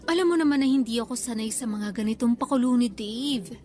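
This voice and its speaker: A woman's voice: on the high side, a little fast, a little mellow, soft background music, lightly acted. A woman playing a modest, bashful young student in a radio drama, chatty and mildly complaining, with a Filipino accent. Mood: bashful, explaining.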